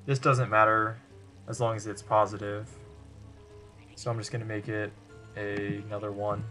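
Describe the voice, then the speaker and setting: A man's voice: fairly low pitch, little pitch movement, moderate pace, loud music behind the voice. A man narrating a tutorial over gameplay in a matter-of-fact, step-by-step way. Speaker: video presenter demonstrating a game glitch.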